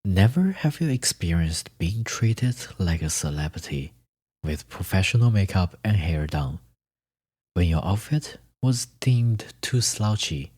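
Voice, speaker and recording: Slow, low-voiced man narrating a story. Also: a little mellow, slightly rough. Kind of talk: scripted second-person story narration.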